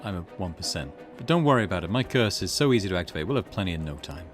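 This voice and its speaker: A man voicing a boastful, hot-headed curse bearer in a visual novel, expressive and blustering. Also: sits low, rapid-fire, faint music behind.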